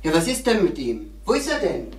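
A young actor playing a worried, polite teenage schoolboy son in a German crime radio drama, hesitant and subdued. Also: male voice, on the high side, wildly swooping pitch, fairly quick. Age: young adult. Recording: roomy, faintly noisy old radio recording.

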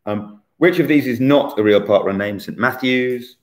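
A man in his forties hosting a casual online quiz from a bright room at home, chatty and teasing, recorded on a laptop webcam. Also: mid-range voice, normal speed. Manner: chatty, teasing, self-mocking. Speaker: quiz host.

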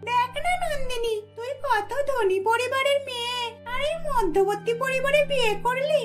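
A woman's voice: extremely high pitch, normal speed, voice pushed up. A woman voicing a meddling young female friend in a cartoon, coaxing and insistent. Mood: pitying. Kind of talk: cartoon dubbing.

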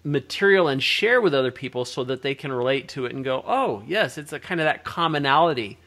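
A man's voice: mid-range voice, lively intonation, a little fast, fairly bright tone. An older man hosting a video call interview, musing at length between his questions. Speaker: interviewer host. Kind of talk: casual interview chat. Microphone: laptop video call.

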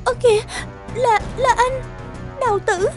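A woman voicing a meek, ill-treated young village wife, pleading and submissive, in an animated folktale. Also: extremely high pitch, a little slow, faint music behind.

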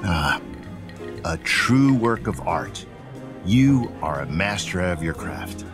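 A man's voice: sits low, big pitch swings, slow pace, music in the background, exaggerated voice. A man playing a confident, courteous 1920s bootlegger in an audio drama. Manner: courteous, assured. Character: smooth-talking, confident 1920s male bootlegger.

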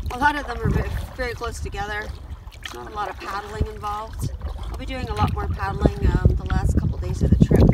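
Tired woman, middle-aged, solo outdoor vlogger, musing aloud casually; very noisy location sound. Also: mid-range voice. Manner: unhurried thinking aloud in short phrases. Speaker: solo wilderness canoe camper vlogger. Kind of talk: casual spoken-to-camera trail vlog. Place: outdoors in forest and on river. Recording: very noisy outdoor handheld recording, rushing water.

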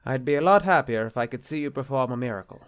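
Casting: male actor as an easily exasperated bishop, middle-pitched, formal, clipped and halting, for audio drama. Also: expressive, clear, resonant tone, close to an everyday voice. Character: stiff, exasperated, anxious male bishop and husband. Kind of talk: film-adaptation audio drama acting.

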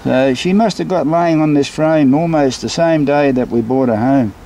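A man's voice: a little high, normal speed, dark tone. A man, a beekeeper, casually explaining a hive inspection as he films outdoors. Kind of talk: casual explanatory vlog narration. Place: outdoors beside a beehive and brick house. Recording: clear buzzing insect noise behind. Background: buzzing bees.

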